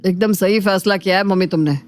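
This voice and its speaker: A woman's voice: medium pitch, quick pace, close to an everyday voice. A woman voicing a naive, easygoing married elder brother in a cartoon family drama. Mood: agreeable, confident. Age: adult. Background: faint background music.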